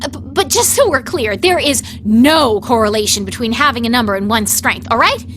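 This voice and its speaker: A woman's voice: on the high side, lively intonation, fairly quick, a bit bright, faint music behind. A woman playing a proud, prickly young female knight in a video game, with haughty, emphatic and formal diction. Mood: defensive. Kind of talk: dramatic video game voice acting.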